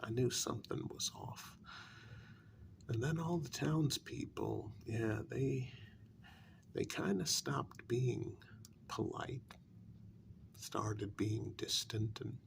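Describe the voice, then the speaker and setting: A man playing a bitter, sarcastic kidnapper in a soft-spoken ASMR role-play, halting and half-whispered, with a gravelly voice. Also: mid-range voice, steady tone, background noise. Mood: smug, accusing, then wounded, questioning. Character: bitter, sarcastic male kidnapper confronting a demon.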